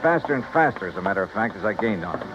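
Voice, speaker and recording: A man's voice: lowish voice, big pitch swings, racing, music in the background. A male actor playing a dogged, skeptical, clipped-talking insurance investigator in an old radio detective drama, both in dialogue and narration. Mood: wry narration. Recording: muffled, noisy old-time radio recording.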